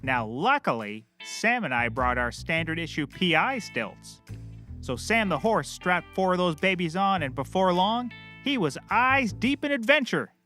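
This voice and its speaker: A man's voice: high voice, very animated, a little slow, bright, forceful tone, faint music behind, exaggerated voice. A man playing a cheerfully clueless, rambling private eye who cracks corny jokes in a scripted comedy. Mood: eager, rambling. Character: bumbling, chirpy, oblivious male puppet-world private eye.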